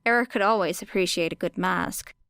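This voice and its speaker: A woman's voice: fairly low pitch, brisk, fairly bright tone, no echo. A woman reading scripted fiction as an audiobook narrator.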